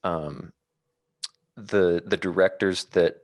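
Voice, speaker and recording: A man talking casually about films as a podcast panelist, in a halting, rambling way. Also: sits low, steady tone, no echo, studio quality. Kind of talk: casual film discussion.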